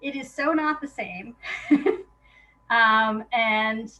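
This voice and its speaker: A woman's voice: fairly high pitch, very slow, pushed and bright, rough recording. A woman talking casually in an interview, chatty and self-deprecating in an informal way. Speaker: playwright being interviewed.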